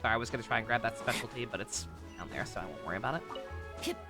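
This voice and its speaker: A man's voice: medium pitch, expressive, rapid-fire. A man streaming a game with casual, rambling, joking commentary. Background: game music.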